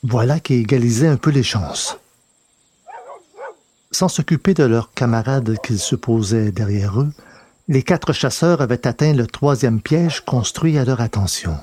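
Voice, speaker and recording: A man narrating a scripted dramatic story in a measured, literary delivery. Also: medium pitch, mellow. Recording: noticeable background noise.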